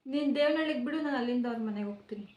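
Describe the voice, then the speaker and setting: Fast, high-voiced teasing woman vlogger. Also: a little mellow. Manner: playful, teasing, insistent. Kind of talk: casual vlog and prank phone call. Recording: roomy with faint noise.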